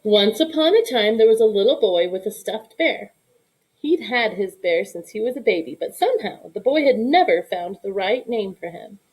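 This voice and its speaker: A woman reading a children's picture book aloud in an expressive storytelling style. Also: mid-range voice, normal speed, rough recording. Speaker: storybook reader.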